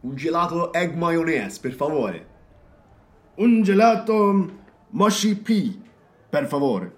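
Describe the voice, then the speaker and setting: An excitable customer in a comic audio drama. His voice is high and swoops around at a slow pace. The space is echoey. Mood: eager. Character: excitable Italian male customer ordering ice cream.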